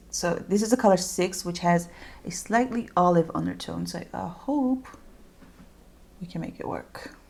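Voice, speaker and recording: A young woman with a Dutch accent, chatting casually and rambling through a makeup tutorial with lots of fillers. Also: on the low side. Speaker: beauty vlogger.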